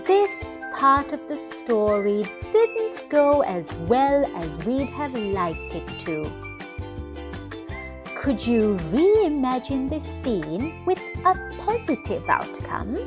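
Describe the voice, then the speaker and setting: Young woman, children's storyteller, slow pace, lively swooping intonation, music behind. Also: high-pitched. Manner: slow, expressive, measured for children. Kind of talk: scripted children's read-aloud and questions.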